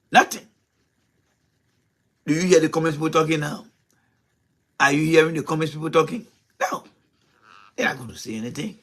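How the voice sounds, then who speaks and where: A man delivers a political monologue as host of his own show. His voice sits at an ordinary middle pitch. He talks with passion, fires off rhetorical questions and circles back to repeat his points. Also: slightly rough.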